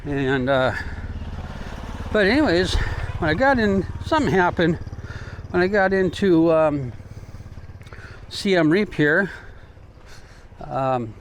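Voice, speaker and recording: A man's voice: a little high, lively intonation, takes it slowly, long pauses. An American man doing a casual, rambling travel vlog while walking, talking in an informal, folksy way. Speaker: travel vlogger. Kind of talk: casual rambling walk-and-talk chat. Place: outdoors, walking along city streets. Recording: clear outdoor street and traffic noise.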